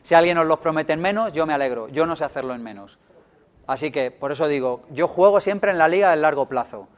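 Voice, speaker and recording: Spanish man, slightly higher voice, lively seminar speaker, teasing the room. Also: a little fast. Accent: Spanish from Spain. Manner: playful, joking, chatty. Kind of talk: live seminar talk to an audience.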